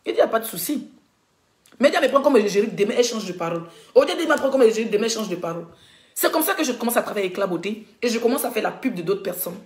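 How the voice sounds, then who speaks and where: A woman's voice: fairly high pitch, expressive, very fast. A woman blogger ranting indignantly on a live stream, coughing with a cold and addressing her viewers directly. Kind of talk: heated rant on a live stream. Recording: echoey room.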